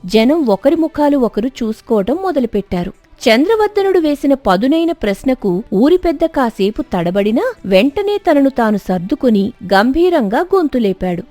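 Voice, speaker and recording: A woman's voice: high voice, sing-song, normal speed, warm tone, dry recording, faint music behind. A woman narrating a scripted story in a dramatic storytelling style.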